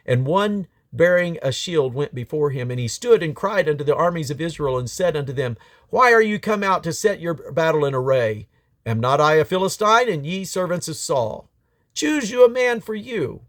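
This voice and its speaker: A man's voice: medium pitch, no room sound. Man reading scripture aloud, dry sound. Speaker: Bible reader. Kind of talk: scripture read aloud from the King James Bible.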